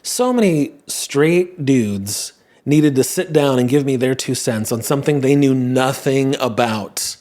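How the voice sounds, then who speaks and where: A man's voice: medium pitch, lively intonation, measured pace, darkish tone. A man hosting a podcast, talking casually in a rambling, self-deprecating way with playful asides. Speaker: podcast host.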